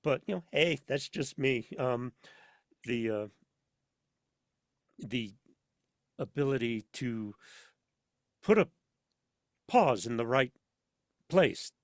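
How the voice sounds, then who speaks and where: A man chatting casually as a podcast guest, speaking haltingly and ramblingly with emphatic, theatrical flourishes. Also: mid-range voice, animated, no room sound, studio quality. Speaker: podcast guest, audio drama voice actor and sound editor. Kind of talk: casual interview chat.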